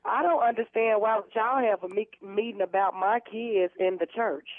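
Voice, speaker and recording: A woman's voice: medium pitch, normal speed, no echo. A woman speaking firmly and emphatically in an indignant phone conversation, heard over a narrow telephone line. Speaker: prank call target, church member.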